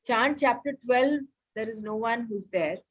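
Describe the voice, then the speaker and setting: A woman leading a casual scripture study group over a video call, explaining terms patiently and reading passages slowly. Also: fairly high pitch. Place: home, remote meeting.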